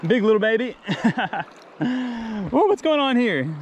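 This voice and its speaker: A man's voice: very high-pitched, slow pace, dull audio, a little hiss or hum. A man filming himself wading a creek, chatting casually and explaining where the fish should be. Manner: excitable, explains the spot while fishing. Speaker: fishing vlogger. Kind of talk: casual outdoor fishing commentary. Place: outdoors wading a mountain creek.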